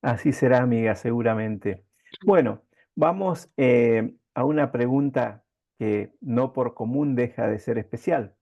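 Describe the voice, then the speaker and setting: A man's voice: mid-range voice, measured pace, creaky, dark tone. Muffled recording of a male interview host. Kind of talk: formal interview presentation with poetry recitation. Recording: muffled lo-fi sound.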